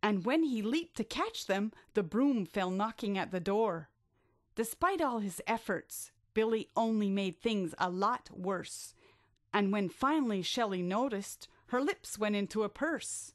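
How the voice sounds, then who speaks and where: A woman narrating a rhyming bedtime story for children with expressive, drawn-out delivery. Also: medium pitch, no echo, clean studio sound.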